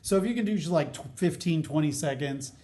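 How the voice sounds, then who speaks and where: A man's voice: fairly high pitch, animated, fairly quick, mellow. A man hosting a college podcast, chatting in a friendly, upbeat way with a guest. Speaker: podcast host. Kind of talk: casual interview chat.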